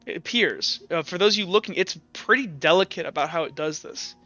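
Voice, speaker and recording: A man running a tabletop roleplaying game as game master, improvising dramatic narration haltingly and swearing casually. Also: on the high side, sharp tone, muffled recording, soft background music.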